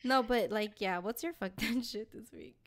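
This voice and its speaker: A woman's voice: on the high side, moderate pace. A woman co-hosting a casual podcast, blunt and swearing freely as she complains. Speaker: podcast co-host.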